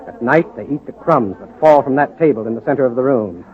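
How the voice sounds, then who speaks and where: Guy acting earnest male hospital doctor, old time radio drama, mid pitch, thin tinny recording. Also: animated, fairly quick, lightly acted. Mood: grim, matter-of-fact. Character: earnest hospital doctor, quietly conspiratorial reformer ally.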